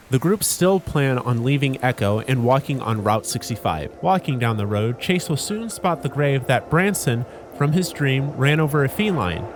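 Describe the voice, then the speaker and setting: A man's voice: medium pitch, background noise. A man narrating a story recap as a video creator, with casual commentary. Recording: music under the voice. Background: background music.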